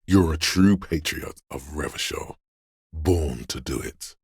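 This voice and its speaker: A man voicing a menacing, paranoid inner voice of fear and aggression in a video game, gravelly and husky, ominous and deliberate. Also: rumbling low, no echo, studio quality, heavily acted. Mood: brooding fervour. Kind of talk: scripted game voice acting, second-person narration.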